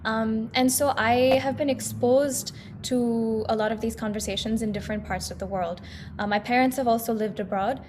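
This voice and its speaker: A woman's voice: on the high side, darkish tone, slight background noise. A woman in her twenties with an American accent, a guest singer chatting on a talk show and slipping between English and Hindi. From United States. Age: 20s. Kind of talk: casual talk show conversation.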